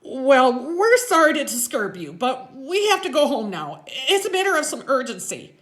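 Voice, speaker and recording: A woman voicing a small, brainy boy in a story, stammering, nervous and formally polite in a chattery high voice. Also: sing-song, normal speed, fairly bright tone. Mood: anxious insistence.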